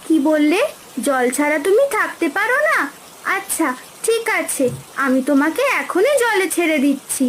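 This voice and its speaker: A woman's voice: extremely high pitch, animated, normal speed, background noise. A woman voicing a kind, eager young village girl in a children's cartoon, with a childlike manner. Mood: kind, reassuring.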